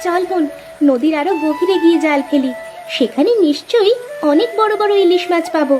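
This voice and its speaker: Woman playing really high-voiced village girl, earnest n determined, cartoon dub, music loud behind. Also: expressive, fairly quick. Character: determined young village sisters, eager and earnest. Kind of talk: Bengali moral-tale cartoon dubbing.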